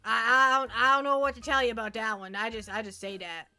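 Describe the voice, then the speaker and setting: A woman's voice: a little high, fairly even intonation, fast, pushed and bright, soft background music. A woman chatting casually to viewers as a livestream host, rambling playfully and often repeating her phrases.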